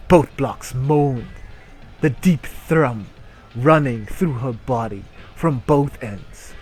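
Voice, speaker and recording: Slow-paced man reading comically over music. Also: mid-range voice, darkish tone, breathy edge. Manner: crude, sweary, irreverent. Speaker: reader and comedic streamer. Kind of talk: mock-dramatic story reading with comic asides.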